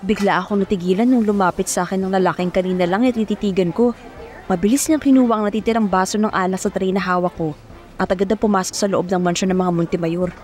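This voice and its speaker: A woman's voice: medium pitch, fairly even intonation, quick pace, darkish tone. A young woman narrating an audio romance serial in the first person, as a dramatic storyteller. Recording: music bed under the voice.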